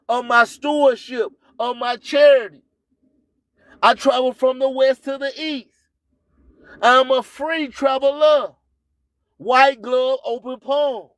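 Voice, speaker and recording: A man's voice: very high voice, clear, resonant tone, no echo. A man hosting an internet radio show, preaching and hyping in repetitive, rhyming catchphrases with a declamatory delivery. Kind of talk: live show hype and preaching monologue.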